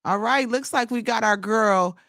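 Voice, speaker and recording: A woman hosting a show with a raspy voice from a slight cold, talking in a slangy, hyped way. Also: a little high, normal speed, a bit bright, no echo, studio quality. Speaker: show host. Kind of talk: casual interview chat.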